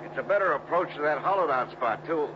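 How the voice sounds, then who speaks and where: Excitable American male sidekick, acted, very animated and earnest; vintage radio drama, muffled noisy recording. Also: on the high side, fast, lightly acted. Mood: eager. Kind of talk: old-time radio adventure acting.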